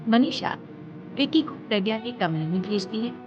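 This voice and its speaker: A woman storyteller narrates a scripted tale in a fairly high voice. Her delivery is lively and varied in pitch. Loud music runs behind slightly dull audio. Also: moderate pace.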